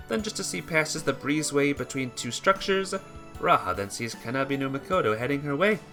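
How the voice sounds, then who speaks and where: A man narrates a written tale in a bright, clear-cut voice. He reads in storybook fashion, now and then tripping on a word. Faint instrumental music plays behind him. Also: mid-range voice. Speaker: narrator reading a visual novel aloud. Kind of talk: scripted story narration with acted dialogue.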